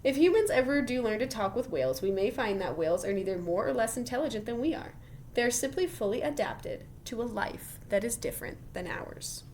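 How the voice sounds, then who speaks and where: A woman reading a children's science book aloud like a teacher, expressive and full of wonder, with chatty, encouraging asides to young listeners. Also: a little high, fairly quick, a little hiss or hum.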